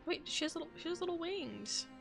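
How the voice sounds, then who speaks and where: A woman's voice: very high-pitched, animated, fairly quick, creaky. A woman game streamer reacting casually to a game on a livestream. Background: game music.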